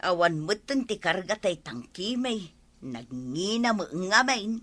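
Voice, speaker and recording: Village wife: nagging, thrifty, affectionate; bright, forceful middle voice, dry studio sound, comedy radio drama. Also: female voice, mid-range voice, expressive, unhurried, no echo. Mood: complaining. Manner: scolding, exclamatory, colloquial.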